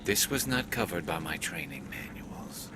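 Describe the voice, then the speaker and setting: A dutiful squadmate in a video game. His voice is deep, and he speaks in a dry, measured way. Clear noise runs behind him. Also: lively intonation. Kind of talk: video game cutscene acting.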